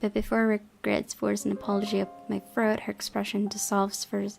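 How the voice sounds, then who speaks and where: A woman's voice: medium pitch, monotone, moderate pace, gravelly. A woman reading a visual novel aloud as a gaming host, dramatic in delivery and sometimes stumbling, over guitar music. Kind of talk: expressive read-aloud narration of visual novel.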